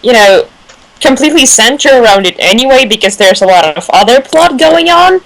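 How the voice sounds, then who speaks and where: A woman's voice: fairly high pitch, animated, fairly quick, low-quality recording. A woman giving her views casually as a panelist on a fan radio show.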